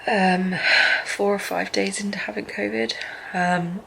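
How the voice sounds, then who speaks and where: A woman talking in a personal video diary from her apartment, speaking in a weary, low-energy way. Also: steady tone, measured pace, creaky, a little hiss or hum, poor audio. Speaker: vlogger. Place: apartment at home.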